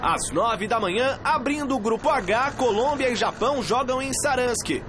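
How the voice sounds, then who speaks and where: A man reading a scripted sports news voice-over in a crisp announcer style. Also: extremely high pitch, expressive, normal speed, background noise. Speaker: news narrator.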